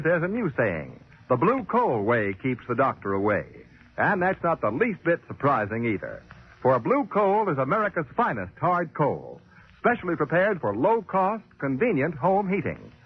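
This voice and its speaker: A man's voice: a little high, sing-song, moderate pace, slightly rough. A male radio announcer reading an advertisement in 1940s broadcast style. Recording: muffled, scratchy 1940s radio recording.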